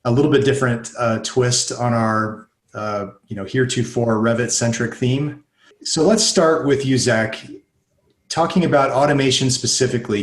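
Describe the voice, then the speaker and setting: A man hosting an interview, talking in a measured, slightly halting way. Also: mid-range voice. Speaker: show host. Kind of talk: interview conversation. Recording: echoey room.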